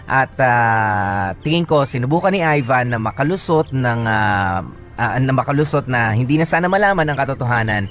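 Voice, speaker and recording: A man with a Filipino accent co-hosting a radio show as a DJ, giving casual relationship advice with hesitant pauses and bits of English. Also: mid-range voice, expressive, a bit bright. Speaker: radio DJ co-host. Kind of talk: casual radio banter and advice on listeners' love stories. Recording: music bed under the studio talk. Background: background music.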